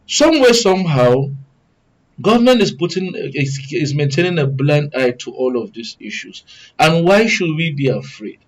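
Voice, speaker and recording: A Nigerian man speaks as a guest commentator on a public affairs talk show. His voice sits a little high for a man. He is earnest and wordy, repeating phrases as he builds each point. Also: big pitch swings, normal speed, rough recording.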